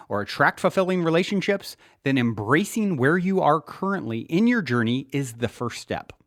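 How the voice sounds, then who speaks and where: Male self-help audiobook narrator, earnest and deliberate, lively swings in pitch, dry close sound. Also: dry recording. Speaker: author reading own book.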